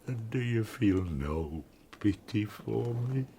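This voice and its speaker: An actor playing a tormented, man-made creature in an audiobook drama, menacing and mournful, gravelly and breaking into harsh whispers. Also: on the low side, animated, slow, dark tone, slight background noise. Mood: bitter, mournful. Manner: grave, anguished declaiming.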